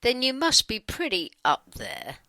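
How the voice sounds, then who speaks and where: A woman's voice: fairly high pitch, wildly swooping pitch, a little slow, pushed and bright, no echo. A woman reading her side of a channelled dialogue in an informal, wry, conversational way. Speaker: channeller putting questions to spirit beings.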